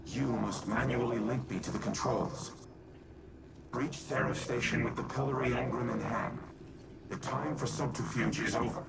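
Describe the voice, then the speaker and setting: An actor playing an ancient male warmind AI, speaking in a measured, formal, grave way with a gravelly voice. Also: mid-range voice, fairly even intonation, very noisy, close to an everyday voice. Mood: commanding. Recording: game audio in a reverberant space with loud music.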